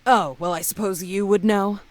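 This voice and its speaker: A woman voicing a harsh, impatient male head of a magic school in a visual novel, loud, curt and commanding. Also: medium pitch, steady tone, normal speed, lightly acted. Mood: stern, impatient. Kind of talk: visual novel character acting. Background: music.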